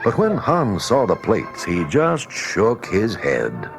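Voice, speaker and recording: A man's voice: fairly low pitch, wildly swooping pitch, slow pace, over music. A man narrating a scripted children's read-along story.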